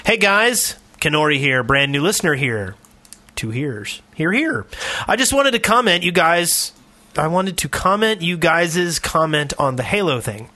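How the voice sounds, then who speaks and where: A man's voice: fairly high pitch, big pitch swings, unhurried, bright, forceful tone. A man hosting a casual podcast, reading aloud and commenting. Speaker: podcast host.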